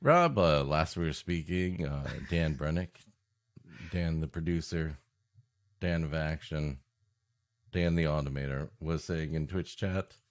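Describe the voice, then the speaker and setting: A man's voice: extremely low pitch, a little slow. A man co-hosting a comedy podcast in bantering chat, riffing and often laughing at his own jokes. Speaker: podcast co-host.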